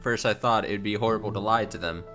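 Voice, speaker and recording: A man's voice: on the low side, fast, music in the background. A man streaming live reaction commentary on game cutscenes, exasperated and sweary, often repeating his phrases. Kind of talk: live reaction commentary, reading subtitles aloud.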